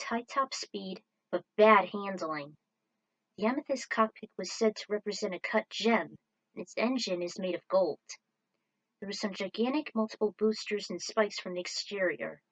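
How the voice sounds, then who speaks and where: A woman's voice: medium pitch, poor audio. A woman reading scripted story narration aloud, with an occasional stumble over the words. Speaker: narrator reading own fan fiction.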